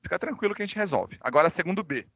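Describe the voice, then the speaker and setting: Brazilian male teacher, forceful bright tone, dry close sound, slightly under the weather with a cold, informal and conversational with his online class. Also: sits high, animated, racing, gravelly, no room sound, muffled recording. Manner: informal, conversational, addresses students directly. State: recovering from a cold. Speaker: high school physics teacher. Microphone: microphone.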